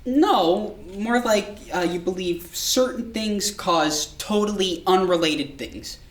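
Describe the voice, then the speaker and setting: A woman playing a calm, patient child psychiatrist, measured and reassuring, in a staged drama reading. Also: mid-range voice, lightly acted. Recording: distant, echoey room recording.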